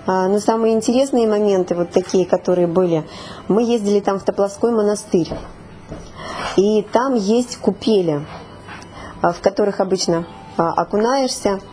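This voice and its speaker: Hoarse woman telling a story hesitantly. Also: mid-range voice, moderate pace, warm tone, slight background noise. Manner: hesitant, many fillers. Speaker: participant. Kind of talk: casual storytelling.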